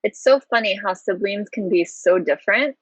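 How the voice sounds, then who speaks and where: A woman hosting an interview show, talking casually with frequent excited exclamations. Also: mid-range voice, moderate pace, husky, no echo, low-quality recording. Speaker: host.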